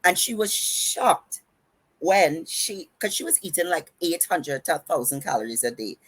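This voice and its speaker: Trinidadian woman, low husky voice. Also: lively intonation, moderate pace. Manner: runs her words together, emphatic and instructive. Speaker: podcast host and fitness coach. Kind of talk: casual podcast advice.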